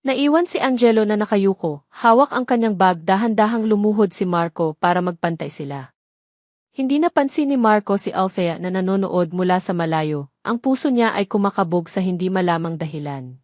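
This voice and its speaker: A woman's voice: mid-range voice, animated, normal speed, a little mellow, dry recording, clean studio sound. A woman with a Filipino accent reading a scripted dramatic story as its storyteller.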